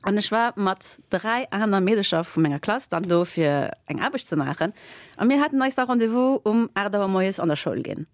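A woman, a guest in a casual interview, telling stories with lots of fillers and lively laughter. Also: medium pitch, a little fast, dry recording. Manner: animated, laughing while telling anecdotes, many fillers. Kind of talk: casual storytelling.

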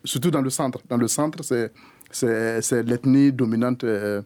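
A man's voice: mid-range voice, a little slow, a little mellow, dry recording. A man from Burkina Faso being interviewed. He explains things in a hesitant way.

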